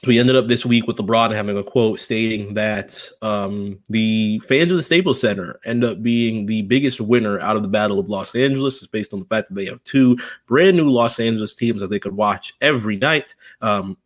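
A man hosting a sports discussion, speaking in a measured, wordy way with rather formal phrasing. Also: lowish voice. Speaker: sports podcast host.

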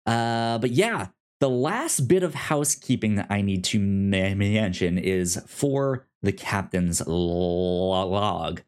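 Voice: deep voice, slow, few pauses, darkish tone, clear, resonant tone. A podcast host chatting informally and giving announcements in a conversational way. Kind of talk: casual podcast chat with housekeeping announcements.

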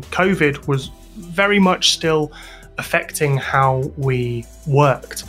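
A British man speaks as an interview guest in a medium-pitched voice. He explains his work in halting phrases, often repeating a word before moving on. Music plays behind him. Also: fairly bright tone. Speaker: interview guest, audio drama sound designer and composer.

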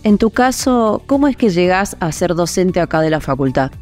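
An Argentine woman hosts an interview, speaking with a clear Argentine accent. She asks curious, probing follow-up questions. Her voice sits low for a woman, with a soft, mellow tone. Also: very animated, moderate pace, soft background music. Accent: Argentine Spanish.

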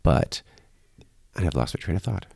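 Male interview guest, low creaky voice, casual anecdotal delivery with self-corrections, close dry sound. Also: expressive, moderate pace, a little mellow, no echo. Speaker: guest, church concert organizer.

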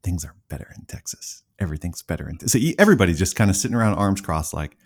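A man's voice: sits low, expressive, rapid-fire, husky. A man hosting a podcast interview, talking casually in long rambling asides before getting to his questions. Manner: rambling, talkative, self-aware. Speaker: podcast host.

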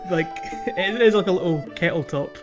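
A man's voice: high-pitched, moderate pace, dull audio, soft background music. A man co-hosting a podcast, talking through a video game casually and a little hesitantly. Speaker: podcast co-host.

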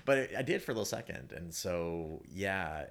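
Very deep-voiced man with creaky, lively delivery. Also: normal speed. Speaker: playwright, panel guest. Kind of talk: casual panel discussion.

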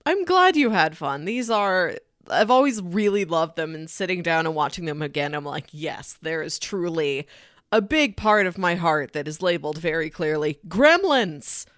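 A woman with a fairly low voice talks casually as a podcast co-host. The sound is dry and close. She tells things in a conversational, playful storytelling way, with little asides. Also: expressive, moderate pace, fairly bright tone, dry recording. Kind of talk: casual film discussion.

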